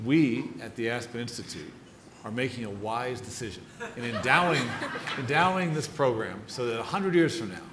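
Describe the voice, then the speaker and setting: A man hosting a live on-stage interview, speaking in a formal, appreciative and deliberate way. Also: medium pitch, lively intonation. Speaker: interviewer, event host. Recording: echoey hall, noisy audience.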